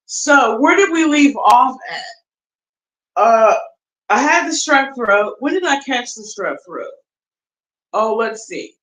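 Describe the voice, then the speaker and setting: A young adult woman, chatting casually on a livestream, recovering from strep throat, drawing words out with slang and loud bursts. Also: fairly high pitch, expressive, low-quality recording. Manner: slow, drawn-out talk with loud bursts and slang. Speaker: YouTube streamer.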